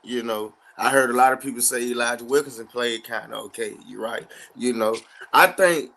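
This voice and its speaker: A man's voice: mid-range voice, normal speed, gravelly, sharp tone. A man, talking sports in a blunt, slangy, casual way and often repeating himself. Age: 30s.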